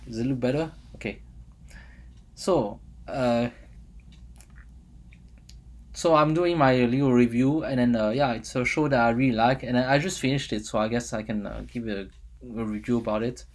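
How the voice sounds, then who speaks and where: A young man from France talks in a French accent, reviewing a show for his vlog. He rambles, filling gaps with "uh" and restarting his sentences, chuckling now and then. His voice sits at a middling pitch. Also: steady tone, unhurried, pauses often. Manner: rambling, many fillers and restarts, chuckles often. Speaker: vlogger.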